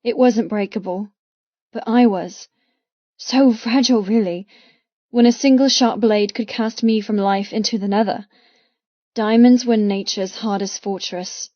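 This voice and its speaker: A woman's voice: mid-range voice, dark tone, no echo, muffled recording. A woman reading first-person fiction as an audiobook narrator, with an intense, dramatic delivery in short phrases.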